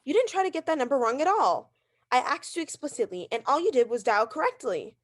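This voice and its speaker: Woman acting a frantic neurotic rich wife in a radio thriller, high swoopy voice, bossy and worked up. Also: big pitch swings, brisk. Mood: exasperated, demanding. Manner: imperious, agitated, insistent. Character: neurotic, demanding invalid wife, frantic and haughty. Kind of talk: radio mystery drama acting.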